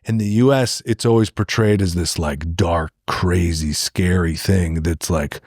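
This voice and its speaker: A man's voice: low-pitched, measured pace, a little mellow, husky, no room sound, clean studio sound. An American man chatting casually as a podcast co-host, rambling through opinions and anecdotes and acting out imagined remarks. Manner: rambling, filler-heavy, acts out imagined remarks.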